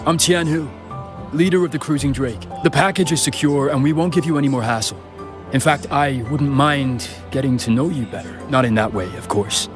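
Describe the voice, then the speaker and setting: A man voicing a confident crew leader in a game, calm and commanding with a dry joke now and then. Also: mid-range voice, moderate pace, music in the background, lightly acted. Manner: calm, commanding, dryly joking. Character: confident male gang leader, smooth and commanding.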